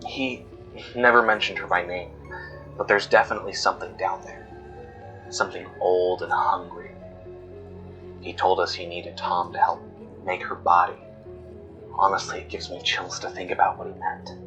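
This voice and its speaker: A haunted woman played by a man confides dark secrets in a horror audio drama. The voice is low and grave, with music running beneath it. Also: little pitch movement, normal speed, long pauses, highs cut off. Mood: uneasy. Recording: music bed under voice. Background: background music.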